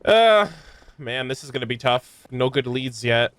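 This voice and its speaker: Animated, slangy woman game streamer. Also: extremely low pitch, measured pace, no room sound. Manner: slangy, laid-back reactions. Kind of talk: casual gaming commentary. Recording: close dry mic over game music.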